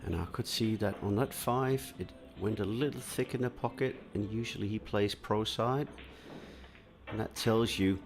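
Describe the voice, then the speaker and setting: A very deep, gravelly male voice from Denmark talks a pool match through shot by shot. His delivery is relaxed and explanatory, with plenty of fillers, and music plays clearly underneath. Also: normal speed. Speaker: pool commentator. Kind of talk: live pool commentary, reading viewer comments.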